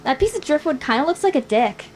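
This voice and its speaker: A woman's voice: high voice, animated, fast talker, a little mellow. A woman acting a playful, tender young woman in a live screenplay table read, with some noise on the recording. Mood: teasing.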